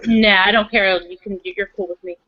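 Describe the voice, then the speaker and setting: A woman's voice: medium pitch, steady tone, normal speed, dry recording, dull audio. A woman narrating her character casually as a player in a tabletop roleplay.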